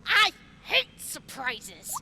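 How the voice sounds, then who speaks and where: A woman's voice: extremely high pitch, big pitch swings, drawn-out words, pushed and bright, slight background noise, heavily acted. An actress playing a brash, taunting female mercenary contractor who threatens a prisoner in an audio drama.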